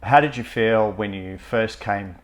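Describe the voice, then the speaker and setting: A man interviewing a guest, asking open questions and affirming her answers gently. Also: fairly low pitch, unhurried.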